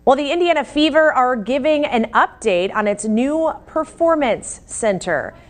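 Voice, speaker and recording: Female news anchor, American, higher-pitched voice, scripted local newscast delivery. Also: expressive, unhurried.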